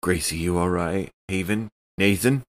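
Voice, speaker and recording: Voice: sits low, normal speed. A player in a tabletop role-playing game, chatting casually and talking through a character's story.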